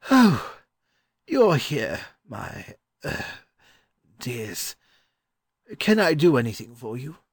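A man voicing a dazed, kindly older scholarly gentleman in a storybook, halting and courteous. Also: medium pitch, huge pitch swings, husky, no room sound, studio quality, voice pushed up, full character voice.

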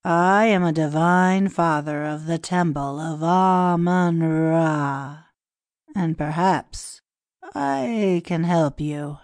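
A woman voicing a pompous, scheming male Egyptian priest in an audiobook, speaking in a grand, confiding, measured manner. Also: sits low, darkish tone, no echo, clean studio sound. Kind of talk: audiobook dialogue reading.

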